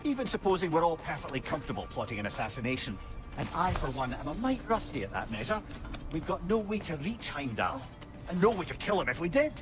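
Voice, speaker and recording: Wry Scottish old sage, animated delivery. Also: male voice, on the high side, fast. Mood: concerned. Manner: wordy, wry, colloquial cursing. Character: witty talking severed head of an old Norse sage. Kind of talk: video game dramatic acting. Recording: game music behind the voice.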